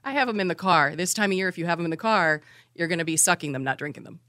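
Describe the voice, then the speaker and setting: Low-voiced woman in her forties, self-mocking. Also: very fast, pushed and bright, no echo, studio quality. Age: 40s. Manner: self-deprecating, laughs while talking, rambling. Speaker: radio show co-host. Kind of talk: casual chat.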